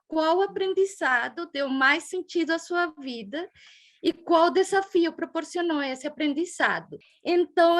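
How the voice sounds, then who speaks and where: A Brazilian woman hosting a group gathering as mediator, speaking in a gentle, contemplative and affectionate way. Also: high voice. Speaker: event mediator and host. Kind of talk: heartfelt opening of a group gathering.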